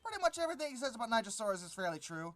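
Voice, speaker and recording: An informal video commentator with a middling pitch critiques material point by point. The delivery is exasperated and sarcastic, often waving things off dismissively. Faint music plays behind the voice. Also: very animated, very fast, a bit bright. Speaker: host of a paleontology commentary channel.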